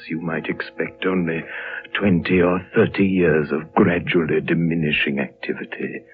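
An actor playing an ancient, serene High Lama in a 1930s radio drama, with a hushed, frail voice and slow, formal, courtly phrasing. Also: male voice. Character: ancient, serene, all-knowing male High Lama of a hidden monastery. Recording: muffled, noisy 1930s radio recording with hum.

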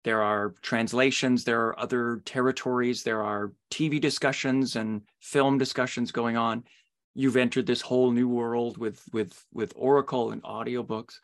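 A man's voice: mid-range voice, fairly even intonation, moderate pace. A middle-aged man hosting a podcast interview in a conversational style.

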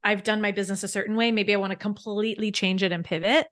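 A woman's voice: mid-range voice, steady tone, fast, a bit bright, no room sound. Enthusiastic woman explaining money, dry sound. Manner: enthusiastic, explains step by step with worked examples. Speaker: financial coach, podcast guest. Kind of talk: casual podcast interview.

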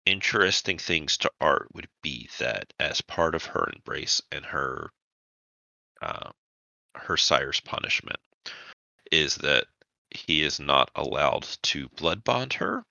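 A man working as game master, narrating a tabletop vampire roleplaying game in a measured, explanatory way. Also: very deep voice, expressive, vocal fry, sharp tone, no echo, lo-fi sound.

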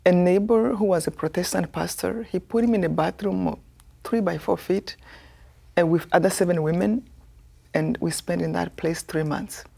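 A woman from Rwanda in her fifties with a Rwandan accent, telling her life story as a guest in an earnest, soft-spoken way. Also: lowish voice, moderate pace, vocal fry, husky. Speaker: guest, author.

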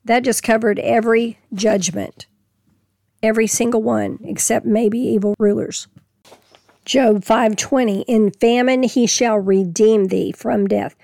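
Woman teaching Bible, gravelly voice. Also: a little high, fairly even intonation, mellow, dry recording. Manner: folksy, reads scripture slowly then explains plainly. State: losing her voice. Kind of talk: casual Bible teaching with scripture reading.

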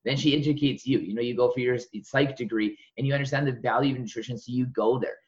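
A man's voice: mid-range voice, steady tone, fast. A man hosting a podcast rambles through long, meandering questions, filling them with "like" and "you know." His voice comes through slightly dull. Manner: rambling, many filler "like"s. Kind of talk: podcast interview.

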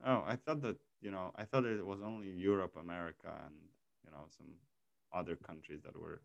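A man's voice: deep voice, fairly even intonation, fairly quick, gravelly, no echo, muffled recording. A man hosting a casual chat, asking curious questions with frequent stammered restarts. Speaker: host.

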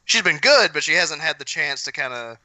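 A man's voice: fairly high pitch, sing-song, a little fast, sharp tone, no room sound. A man on a podcast panel, chatting casually about pop culture.

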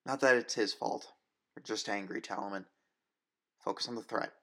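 A man with a fairly low-pitched voice narrates a story. He delivers scripted first-person fiction dramatically, in the manner of an audiobook reader. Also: normal speed, a bit bright.

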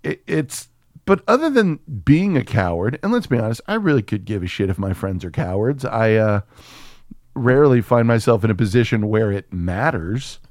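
A man's voice: on the low side, animated, normal speed, darkish tone, no room sound, clean studio sound. A man co-hosting a film podcast, chatting casually and comedically in a profane, jokey, rambling way.

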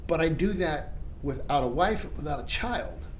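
A man in his forties with a Midwestern American accent muses through a thought experiment, restarting his sentences as he goes. His voice sits a little high for a man. A faint low rumble runs underneath. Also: animated, normal speed, low-quality recording. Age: 40s. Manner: thoughtful, musing, many restarts. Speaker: high school teacher co-host. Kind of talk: casual chat.

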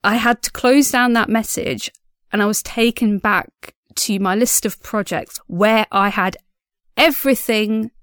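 A woman speaking in an informal audio diary, chatty and self-deprecating in an animated way. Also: a little high, unhurried, clear, resonant tone, no echo, clean studio sound. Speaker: audio drama creator and diary host.

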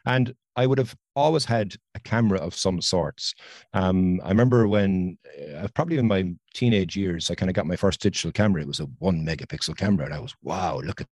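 A man's voice: low-pitched, fairly quick, darkish tone, no echo, studio quality. A middle-aged Irish man from Ireland, a guest on a podcast interview, talkative and enthusiastic over a video call. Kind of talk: conversational interview.

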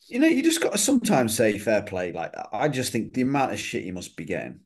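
A man co-hosting a podcast, telling animated anecdotes in casual, sweary chat. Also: lowish voice, fast talker, husky. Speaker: podcast co-host.

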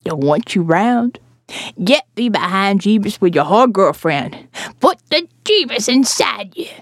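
A woman voicing a croaking, preachy woman of sixty in audio fiction, scolding in folksy rustic speech. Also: mid-range voice, big pitch swings, measured pace, no room sound, studio quality. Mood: hostile, preachy. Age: 60s. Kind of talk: audio fiction character acting.

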